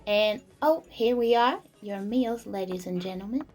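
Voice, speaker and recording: A woman's voice: mid-range voice, moderate pace, close to an everyday voice. A woman playing a cheerful, hospitable tavern server in a fantasy audio drama, chatting to guests as they are served. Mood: cheery, welcoming. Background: music and clinking tableware.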